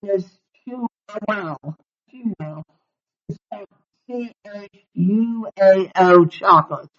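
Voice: mid-range voice, takes it slowly, a bit bright. A radio presenter introducing a segment and spelling out a name. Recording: dull lo-fi radio sound.

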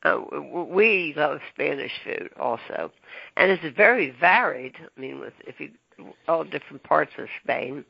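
A man hosting a casual interview, talking haltingly with many ums and restarts. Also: on the high side, lively intonation, creaky, breathy edge, no echo. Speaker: podcast host. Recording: dull lo-fi dry recording.

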